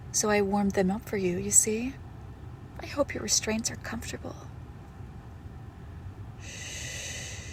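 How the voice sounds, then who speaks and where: Woman acting a slow, creaky-voiced monstrous girlfriend. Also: medium pitch, a little hiss or hum, low-quality recording. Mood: soothing menace. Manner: condescending, darkly affectionate, drawn-out deliberate phrasing. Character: possessive monstrous girlfriend with shadow powers, sweetly menacing. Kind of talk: intimate horror roleplay audio, slow and hushed.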